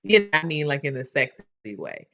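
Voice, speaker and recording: A woman's voice: low-pitched, big pitch swings, moderate pace, a bit bright, dull audio. A woman hosting a casual chat, candid and chatty, often restarting her sentences. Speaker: host.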